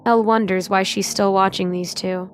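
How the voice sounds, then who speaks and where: A woman's voice: medium pitch, fairly even intonation, normal speed, a little hiss or hum. A woman reading scripted, dramatic story narration for an audio drama.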